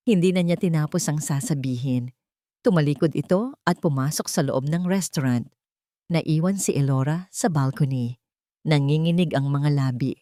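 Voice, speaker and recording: A Filipino woman reads a dramatic romance in a fairly low voice. Her storytelling is measured and expressive, and the sound is close and dry, with very little room. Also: no echo. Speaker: narrator of romance stories. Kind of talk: scripted dramatic audio story narration.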